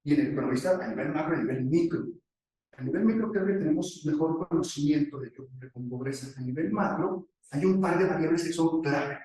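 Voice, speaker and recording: Man, academic presenter, higher-pitched voice, explanatory delivery, rhetorical questions, very echoey room, distant microphone. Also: brisk, mellow. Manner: explanatory, asks rhetorical questions and answers them. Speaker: academic economist presenting a paper. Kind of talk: conference presentation. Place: echoey conference session room.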